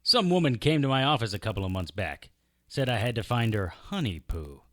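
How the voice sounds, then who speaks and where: An actor playing a wry, unflappable private investigator in an audio drama, narrating and talking in a deadpan, sardonic way. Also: male voice, mid-range voice, brisk, dry recording, clean studio sound. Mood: wry, unruffled. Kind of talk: hard-boiled detective audio drama acting with first-person narration.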